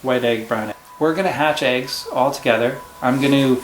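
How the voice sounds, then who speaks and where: A man's voice: medium pitch, normal speed, reverberant, a little hiss or hum, low-quality recording. A man teaching at home, explaining a storybook lesson to a child patiently and step by step. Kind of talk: casual classroom discussion.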